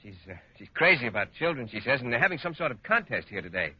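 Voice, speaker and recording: Mild naive gentleman, earnest, polite, quick husky speech, 1940s radio comedy, dull old recording. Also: medium pitch, sing-song.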